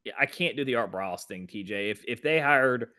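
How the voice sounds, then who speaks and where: A man's voice: medium pitch, lively intonation, brisk, sharp tone. Blunt, emphatic male podcast co-host. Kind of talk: casual sports talk.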